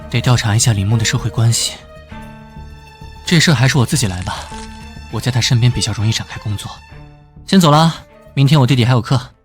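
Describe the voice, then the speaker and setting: A man playing an earnest young police detective in an animated drama, serious and brooding. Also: mid-range voice, fast talker, pauses often, faint music behind, lightly acted. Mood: earnest, focused.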